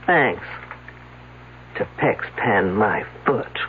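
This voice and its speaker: An actress playing a sharp-tongued woman detective with a dry, sarcastic way of talking. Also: extremely low pitch, expressive, extremely slow, very noisy, heavily acted. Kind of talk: 1970s radio drama acting. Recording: tinny old radio broadcast.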